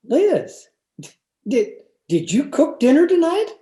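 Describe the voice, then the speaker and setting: A woman's voice: sits high, huge pitch swings, takes it slowly, mellow, heavily acted. Woman acting echoey, swooping, amused sitcom friend. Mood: doubtful. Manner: exaggerated, giggly sitcom delivery. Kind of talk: old-time radio sitcom acting. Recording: echoey room over video call.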